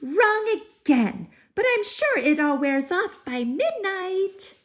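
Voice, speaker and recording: Squeaky, very high excitable storybook fairy. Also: female voice, takes it slowly, full character voice. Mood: flustered. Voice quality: squeaky, thin cartoonish voice. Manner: singsong spell chanting with exclaimed nonsense words. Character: small scruffy bungling dirty fairy, excitable and chirpy. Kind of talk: storybook read-aloud character voice.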